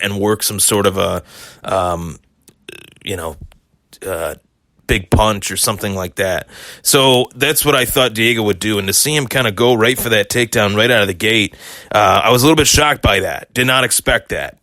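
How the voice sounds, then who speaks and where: A man hosting a solo sports podcast, hoarse and a bit hungover, rambling through fight reactions with many fillers and restarts. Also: lowish voice, sharp tone, dry recording, clean studio sound. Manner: rambling, repeats himself, frequent fillers. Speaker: podcast host.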